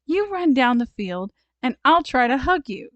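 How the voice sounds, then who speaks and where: Woman voicing a high-pitched, lovestruck little girl. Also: sing-song, normal speed, no echo, dull audio. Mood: bold, eager. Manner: insistent, emphatic.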